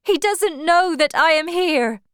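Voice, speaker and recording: A woman's voice: high-pitched, lively intonation, slow, a bit bright, dry recording, clean studio sound, voice pushed up, full character voice. A woman voicing a distressed but proud young married farm woman in an audiobook, protesting urgently. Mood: anguished, defensive.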